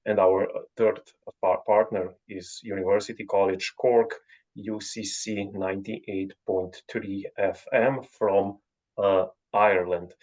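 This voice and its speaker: A man, a co-organizer giving announcements on a video call in a halting way full of fillers. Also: on the low side, darkish tone. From Slovenia. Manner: halting, many filler sounds. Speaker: panel co-organizer. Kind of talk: event announcements. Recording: dull lo-fi video call audio.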